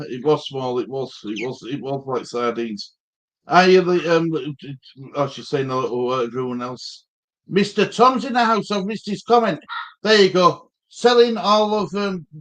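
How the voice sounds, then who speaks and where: Man, fairly high voice, easygoing, agreeable, casual football chat. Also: low-quality recording. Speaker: co-host.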